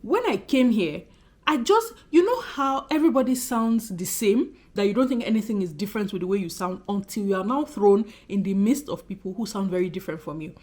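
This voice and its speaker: A woman in her thirties from Nigeria, with a Nigerian accent that carries a Benin undertone, talking expressively and fast in a casual vlog to camera. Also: mid-range voice, lively intonation, darkish tone. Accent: Nigerian, Benin City undertone. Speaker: YouTuber.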